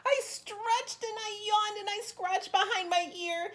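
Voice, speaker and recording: A woman preschool teacher reading a storybook aloud in an animated, simple, child-directed way with expressive emphasis. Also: very high voice, unhurried.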